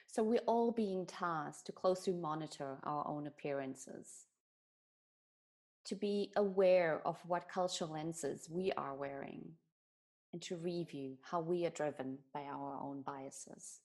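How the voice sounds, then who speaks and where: A woman's voice: sits low, unhurried, darkish tone. A woman from Germany giving an earnest, explanatory talk on bias and inclusion. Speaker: speaker on diversity and inclusion.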